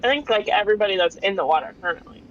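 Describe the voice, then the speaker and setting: A woman's voice: medium pitch, animated, rapid-fire. A woman talking casually as a player in a tabletop role-playing game. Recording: dull, noisy home recording.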